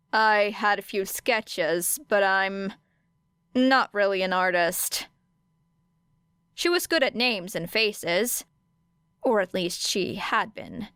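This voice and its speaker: A woman's voice: medium pitch, a bit bright, smooth, no room sound, studio quality. A woman reading scripted story narration as an audiobook narrator. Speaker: audiobook narrator of fan fiction.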